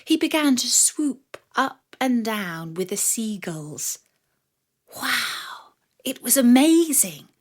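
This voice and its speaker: A woman narrating a children's story from a script with expressive, dramatic delivery. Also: fairly high pitch, slow pace.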